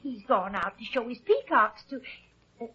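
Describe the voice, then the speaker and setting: A husky, fussy farm wife. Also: female voice, a little high, big pitch swings, moderate pace, sharp tone, heavily acted. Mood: flustered, scandalised. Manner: flustered, exclaiming, folksy. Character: fussy, scolding, easily scandalised country farmer's wife. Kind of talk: old-time radio drama acting. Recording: muffled lo-fi old radio recording.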